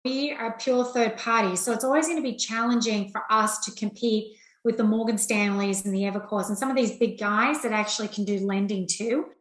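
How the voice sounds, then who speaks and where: A woman's voice: on the high side, steady tone, a little fast, fairly bright tone. A middle-aged woman with an Australian accent, speaking candidly and plainly as a business executive being interviewed. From rural Australia. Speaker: interview guest, investment banking executive. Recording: roomy, echoey sound.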